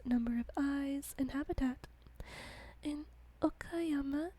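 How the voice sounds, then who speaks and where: A woman reads aloud in a slow, hushed whisper, the way ASMR videos are done. Her tone is soft and mellow, and she drops in little giggles now and then. Her pitch sits fairly high even while she whispers. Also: rough recording. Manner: slow, gentle reading. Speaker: ASMR host.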